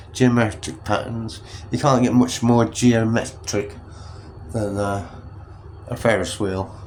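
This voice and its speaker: Bunged up guy, lowish voice, chatting to his camera in a ferris wheel cabin at night, keeps correcting himself. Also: a little mellow, a little hiss or hum. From England. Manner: rambling, chatty, self-correcting. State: stuffed-up nose, sniffly. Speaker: travel vlogger. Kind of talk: casual vlog narration.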